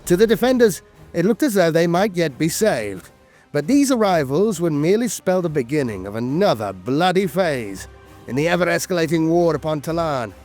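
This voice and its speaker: A man's voice: fairly high pitch, very animated, moderate pace, darkish tone, soft background music. A man narrating scripted lore as a storyteller, with a grave, dramatic delivery.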